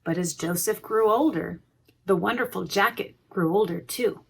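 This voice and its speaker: A woman reading a children's storybook aloud, pausing to explain things simply and expressively to young listeners. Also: medium pitch, gravelly, husky. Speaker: preschool education manager reading a storybook.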